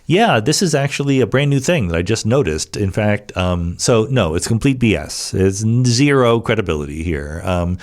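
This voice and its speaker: Man with lively, swooping intonation, lowish voice. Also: normal speed, hardly any pauses. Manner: conversational, emphatic, rhetorical asides. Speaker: podcast host answering listener questions. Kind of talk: casual explanatory monologue, reads questions aloud.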